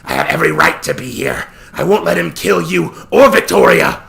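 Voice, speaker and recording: A man voicing a fierce, loyal male lizard man in a storybook reading, loud and urgent. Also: fairly high pitch, unhurried, vocal fry, voice pushed up, exaggerated voice.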